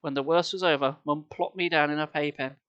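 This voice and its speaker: A man's voice: a little high, steady tone, brisk, clean tone, dull audio. A man reading a story aloud on a live stream, theatrical and playful, with casual asides.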